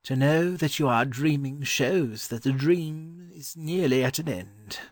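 A man voicing a weary, courteous, scholarly gentleman in a storybook reading, mild and wistful. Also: on the high side, measured pace, no room sound, studio quality, pitched above the performer's own voice, lightly acted. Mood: dreamy, polite.

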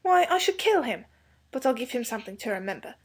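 Woman doing a scornful schoolboy, soft and breathy. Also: a little high, big pitch swings, fast talker, voice pushed up. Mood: indignant. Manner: blunt, scornful. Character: indignant giant schoolboy, blunt.

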